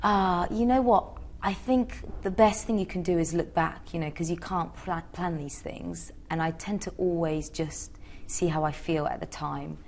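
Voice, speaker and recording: A woman's voice: lowish voice, normal speed, slight background noise. A young woman from Georgia answering interview questions in a chatty, hesitant way with many fillers. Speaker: interviewee, singer.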